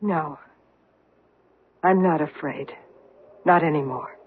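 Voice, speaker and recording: A grim survivor who confronts the officer who destroyed her village, speaking slowly and with quiet intensity. Her voice is a little husky. It comes through the thin, tinny sound of a 1970s radio broadcast. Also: low-pitched, heavily acted. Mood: resolute. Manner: intense, accusing, measured. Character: vengeful woman survivor confronting a war criminal. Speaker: actor in radio drama. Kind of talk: 1970s radio drama acting.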